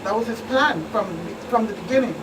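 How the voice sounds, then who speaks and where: A woman's voice: a little high, a little fast. A woman with a Virgin Islands accent speaking as a police expert on a talk show, explaining things plainly and with emphatic repetition. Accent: Virgin Islands Caribbean. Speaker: police officer, expert guest. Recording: distant mic, clearly noisy room with hum.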